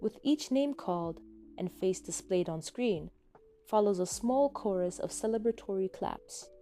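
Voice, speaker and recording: A woman narrates a piece of fiction in a scripted, second-person style. Her voice has a mellow, dark tone at a middling pitch. Quiet music plays underneath the reading. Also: animated, measured pace. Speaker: podfic narrator. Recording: soft music bed behind reading. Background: soft background music.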